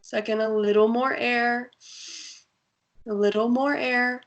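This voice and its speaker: A woman guiding a breathing meditation in a soft, soothing voice with long pauses. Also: fairly high pitch, steady tone, dull audio. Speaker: meditation guide.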